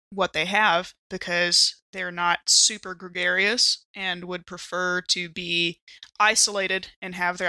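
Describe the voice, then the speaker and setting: A woman's voice: fairly low pitch, sharp tone, no echo. A woman playing a tabletop game, casually improvising details about her character and thinking aloud in loose, conversational phrases.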